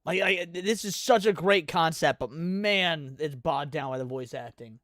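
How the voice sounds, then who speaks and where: A man's voice: on the high side, measured pace, fairly bright tone, no room sound, studio quality. A man hosting a livestream, giving casual, informal reaction commentary. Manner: informal, swearing. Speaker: livestream host.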